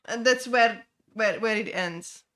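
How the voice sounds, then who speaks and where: A young woman from Czechia with a Czech accent, chatting casually as she streams a game, halting with many fillers and restarts. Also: a little high, pushed and bright. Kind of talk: casual gameplay chat.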